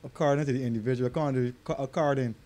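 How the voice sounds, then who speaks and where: A man's voice: medium pitch, fairly even intonation, very fast. A Barbadian man, an artist, chatting loosely in dialect in an interview. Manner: loose, streetwise, grows loud and hyped. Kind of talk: casual interview answers.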